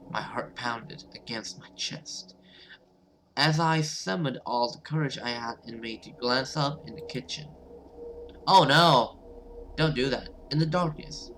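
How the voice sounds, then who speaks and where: A man's voice: mid-range voice, fairly bright tone, a little hiss or hum, poor audio. A man doing let's-play commentary and reading game text aloud in an informal, hesitant way. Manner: informal, hesitant, alternates reading narration with reactions. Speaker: gaming video host.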